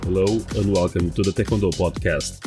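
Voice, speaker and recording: A male podcast host talks in a very low voice, steady and conversational. He speaks over a video call. Faint music sits behind him. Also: a little fast. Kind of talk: casual podcast chat.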